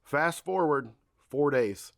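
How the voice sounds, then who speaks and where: A man's voice: fairly high pitch, expressive, slow, fairly bright tone, no echo. A man, congested, chatting casually to viewers in a homestead vlog. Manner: folksy, chatty, addresses viewers as y'all. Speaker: farm vlogger. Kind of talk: casual vlog talk to camera.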